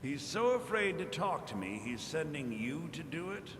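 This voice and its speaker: A smug, insinuating mage trader from a fantasy game. The delivery is very melodic and condescending, with a long reverberation and clear ambience behind it. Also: male voice, a little high, very animated, normal speed, noisy background. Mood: mocking, smug. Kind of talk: scripted video game dialogue.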